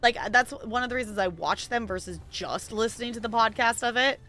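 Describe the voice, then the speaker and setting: Bright chatty woman gaming on stream, rambles a lot, says like constantly. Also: fairly high pitch, fairly quick. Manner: rambling, chatty, frequent "like" and laughs. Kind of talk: casual gaming stream chat.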